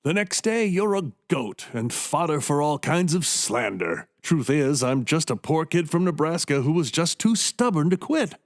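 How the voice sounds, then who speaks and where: Animated, higher-voiced genial male doctor, acted by a man. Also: normal speed, few pauses. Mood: genial, modest. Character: renowned, self-deprecating male neurosurgeon and inventor. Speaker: actor in a radio play. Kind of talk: radio play acting.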